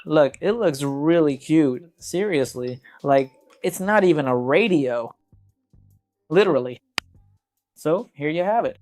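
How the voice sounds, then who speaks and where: A man's voice: fairly high pitch, darkish tone. A man presenting a casual hands-on product review, talking conversationally and directly to viewers.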